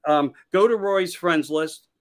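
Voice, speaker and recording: A man's voice: on the high side, takes it slowly. A man from Pennsylvania, a podcast host appearing as a guest, talking politics in a rambling, emphatic way and repeating his key phrases. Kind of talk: casual political chat.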